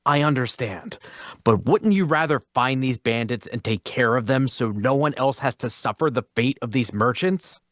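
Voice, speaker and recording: Male actor as calm adventuring leader, mid-range voice, measured, placating, dry recording. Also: mid-range voice, no echo, close to an everyday voice. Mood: reassuring. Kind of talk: fantasy drama table read.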